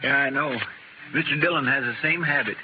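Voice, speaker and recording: An actor playing an eager, deferential, slightly flustered marshal's helper in a 1950s western radio drama. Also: male voice, mid-range voice, fairly quick, natural voice. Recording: noisy, muffled 1950s radio recording.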